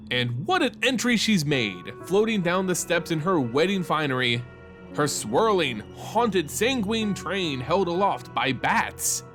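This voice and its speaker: Male fantasy lore narrator: slightly high voice, very expressive, theatrical scripted read over music. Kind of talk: dramatic scripted storytelling and narration.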